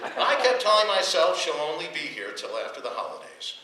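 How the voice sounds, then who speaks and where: A man's voice: on the high side, big pitch swings, a little fast, pushed and bright. A man playing a wry, put-upon, grumbling husband who narrates an old-time radio comedy. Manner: dry, wisecracking, grumbling. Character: wry, put-upon husband telling his domestic troubles. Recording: echoey room, distant mic, faint noise.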